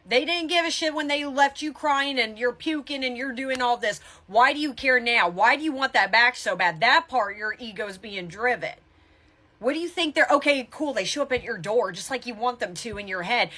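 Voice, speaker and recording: Woman doing blunt spiritual advice, kinda high bright voice, punchy and emphatic, swears a bit. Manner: blunt, emphatic tough-love delivery, swears casually. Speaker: tarot reader and spiritual coach. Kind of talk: motivational spiritual advice talk to viewers.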